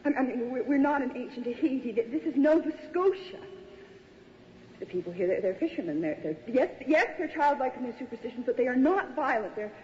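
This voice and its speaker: A woman's voice: high-pitched, sing-song, fairly quick. An actress playing a sensitive, imaginative young woman writer in a radio drama, with an uneasy manner. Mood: alarmed, pleading. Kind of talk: radio drama acting with first-person narration. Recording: muffled, noisy old radio drama recording.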